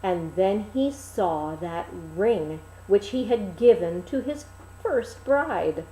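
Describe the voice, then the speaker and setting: Slow, animated woman storyteller in echoey room. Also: medium pitch, a little hiss or hum. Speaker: storyteller reading a fairy tale. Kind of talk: expressive storybook narration. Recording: roomy, echoing room.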